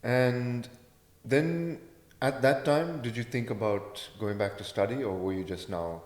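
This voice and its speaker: A man's voice: medium pitch, measured pace, a little mellow. A man who grew up in India, hosting an interview and asking questions in an encouraging way with frequent short acknowledgements. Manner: encouraging, lots of short backchannel. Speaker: podcast host. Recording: echoey room with long reverb.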